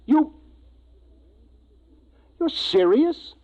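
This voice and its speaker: An American actor playing a brusque, exasperated middle-aged spaceship commander in old science fiction radio, impatient and commanding. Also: male voice, very high voice, sing-song, drawn-out words, darkish tone, exaggerated voice. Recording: tinny narrow-band 1950s radio recording.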